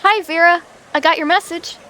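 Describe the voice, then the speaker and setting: A woman plays a teenage schoolgirl heroine in a fan-dubbed comic. Her high voice is youthful and earnest, with a hesitant, troubled sincerity. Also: normal speed, pushed and bright, slight background noise. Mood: friendly. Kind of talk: fan-dub comic voice acting.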